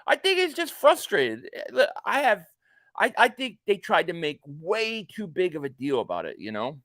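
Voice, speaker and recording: A man in his late forties with a notably high voice, chatting loosely through a live stream and drifting into jokey asides between viewer comments. The sound is dry and close, with no room around it. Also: very animated, normal speed, a bit bright, dry recording. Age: 40s. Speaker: live stream host reacting to a football game. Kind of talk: casual live stream chat, reading viewer comments aloud.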